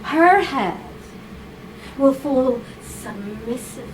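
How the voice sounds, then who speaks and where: Slow, playful woman reading to a room. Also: sits high, animated. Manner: playful, laughing, coaxing. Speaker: reader at a writers' salon. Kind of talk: reading aloud and directing volunteers. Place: live event room with audience. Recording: noisy live room recording.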